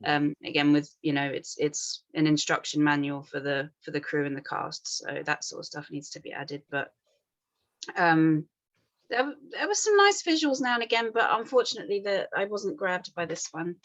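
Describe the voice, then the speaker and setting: Female panel reviewer, lowish pitch, tentative and meandering, offering apologetic informal notes. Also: lively intonation, moderate pace, a bit bright. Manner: hesitant, rambling, apologetic. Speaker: script panel reader giving feedback. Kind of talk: informal spoken critique.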